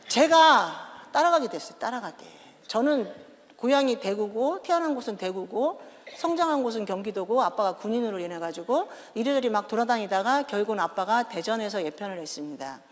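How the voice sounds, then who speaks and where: A woman in her fifties with a Chungcheong accent, a pastor's wife giving a joking, animated testimony in a large church. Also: on the high side. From Daejeon, South Korea. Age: 50s. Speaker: pastor's wife, guest speaker. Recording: echoey church hall.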